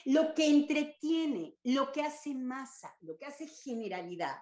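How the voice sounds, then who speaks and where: An older woman with a Mexican accent talks as an academic guest on a discussion panel. Her pitch moves a great deal, and she rambles with frequent restarts and hunts for words. The sound carries a roomy echo. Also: very animated, measured pace, darkish tone.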